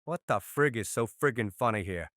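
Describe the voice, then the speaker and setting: A man acting a blustering, gruff mob boss. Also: mid-range voice, expressive, normal speed, a bit bright, no room sound, clean studio sound, lightly acted. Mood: irritated, commanding. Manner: gruff street slang, indignant. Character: blustering male mob boss, irritable, tough. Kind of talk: dramatized crime comic acting.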